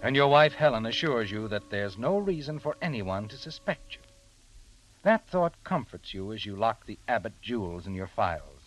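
A man's voice: on the low side, sing-song, normal speed, poor audio. A man playing an ominous, all-knowing narrator in a 1940s radio drama, taunting a killer directly. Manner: ominous, addressing the listener as the character. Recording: old radio recording with music.

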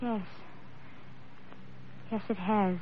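An actress playing an emotional, halting young sweetheart in an old radio drama. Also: female voice, medium pitch, fairly even intonation. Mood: reserved. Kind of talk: 1940s radio acting. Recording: tinny, noisy old radio recording.

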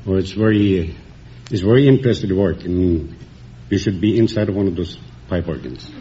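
A man's voice: low-pitched, a little fast, warm tone, slight background noise. A man from Norway answering a quiz show host with terse, plain replies. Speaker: contestant. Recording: old lo-fi radio broadcast.

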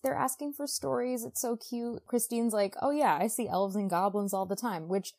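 A woman's voice: fairly high pitch, normal speed, darkish tone. A woman co-hosting a casual book podcast, talking in a chatty, gushing way full of conversational asides. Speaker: podcast co-host.

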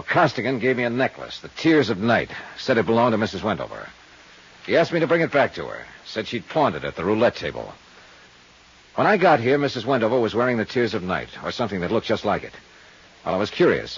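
An actor playing a laconic, world-weary investigator in 1950s radio drama, dry, clipped and matter-of-fact. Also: male voice, fairly low pitch, big pitch swings, fast, slightly rough, heavily acted. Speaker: lead detective and narrator. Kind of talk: 1950s hard-boiled radio acting and first-person narration. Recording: dull old radio recording.

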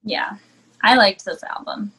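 A woman co-hosting a casual music chat, relaxed and often answering in short replies. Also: medium pitch, measured pace, husky, low-quality recording. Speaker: co-host reviewing music.

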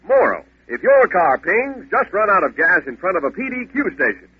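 A man's voice: high voice, big pitch swings, normal speed, breathy edge. A male radio announcer telling a sponsor's story in a 1940s style. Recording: dull old radio recording.

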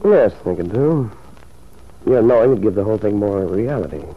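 Coaxing male schemer, callous lover, animated intonation, radio drama, muffled vintage recording. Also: on the low side, moderate pace. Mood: thoughtful. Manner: suave, insinuating, coaxing.

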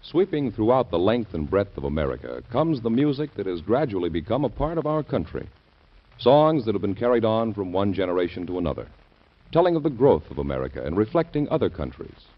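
A male announcer with a lowish, lively voice, reading a smooth promotional spot. The sound is muffled, like an old radio broadcast. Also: fairly quick.

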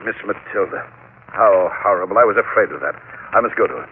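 An actor playing a suave, solicitous family lawyer in a 1940s radio drama. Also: male voice, sits low, fairly quick, gravelly. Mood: shocked. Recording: tinny, noisy old radio broadcast.

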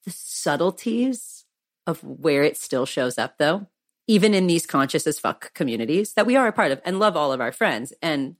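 A woman's voice: low voice, sing-song, moderate pace, no room sound, studio quality. A woman co-hosting a podcast, speaking thoughtfully in long, rambling sentences with casual swearing. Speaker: podcast co-host.

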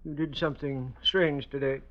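A man's voice: medium pitch, fairly even intonation, normal speed, natural voice. A man playing a plain-spoken, wary janitor in an old-time radio drama, guarded and folksy. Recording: dull vintage radio recording.

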